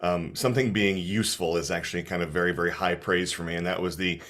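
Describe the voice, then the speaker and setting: A man with a very low voice speaks quickly over a video call. He sounds thoughtful and appreciative, restarting his phrases as he goes. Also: fairly even intonation, fairly bright tone. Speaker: guest speaker and author. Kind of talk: casual talk.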